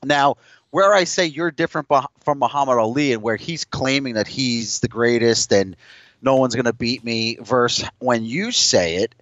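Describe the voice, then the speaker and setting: A man's voice: medium pitch, normal speed, fairly bright tone, no echo. A man in his late thirties, a podcast guest in a heated debate, talking bluntly and combatively and cutting in over the other speaker. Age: 30s. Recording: dull, dry lo-fi sound.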